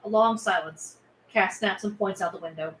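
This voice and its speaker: A woman's voice: mid-range voice, normal speed, fairly bright tone. A woman reading stage directions aloud as scripted narration at a table read. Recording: echoey room, faint background noise.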